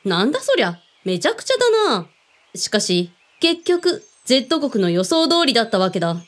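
Expressive, skeptical female ex-agent voiced by a woman. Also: on the high side, normal speed. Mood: wry, probing. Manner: terse, mannish phrasing, probing questions. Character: shrewd, skeptical female ex-agent in a protection business. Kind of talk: Japanese radio drama acting.

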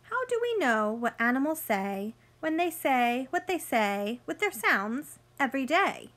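A woman in her thirties reading a picture book aloud to young children like a teacher, expressive and sing-song, pausing to ask simple questions. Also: high voice.

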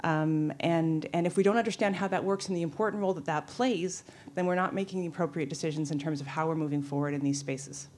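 A middle-aged woman making a measured, persuasive point at a conference forum. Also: low-pitched, a little mellow. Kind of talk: audience floor comment. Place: large conference hall.

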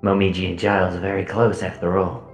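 A man's voice: low-pitched, flat delivery, fast, natural voice. A man playing a suave, taunting villain in an amateur Minecraft roleplay, mocking and mannered. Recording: echoey voice with music behind.

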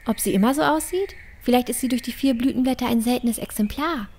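Eine Frau spielt in einem Fantasy-Hörspiel eine mutige, neugierige junge Entdeckerin, eifrig und abenteuerlustig. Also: female voice, fairly high pitch, fast, a little mellow, a little hiss or hum, natural voice. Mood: amazed. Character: bold, curious young girl explorer in a fantasy forest. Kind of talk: fantasy audio drama acting.

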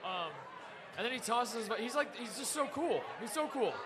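A man's voice: very high-pitched, lively intonation, fairly quick. A man in his thirties co-hosting a casual sports chat, laid-back and joking, repeating his punchlines. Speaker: co-host. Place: crowded bar, indoors. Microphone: handheld mic. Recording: live bar crowd and noise behind.

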